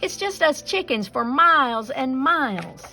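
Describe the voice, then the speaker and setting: A woman's voice: high voice, very animated, a little slow, sharp tone, soft background music, full character voice. A woman voicing a small, feisty young chicken in a storybook, jumpy and exclaiming. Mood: boastful. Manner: exclaiming, stammering when flustered.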